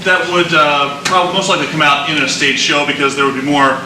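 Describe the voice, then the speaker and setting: A man with a slightly high voice moderates as the play's director, explaining quickly in stop-start, conciliatory phrases. The sound is distant and echoey, with noticeable noise behind him. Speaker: play director moderating a discussion. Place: echoing room, picked up from afar. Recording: echoey room, distant mic, noisy.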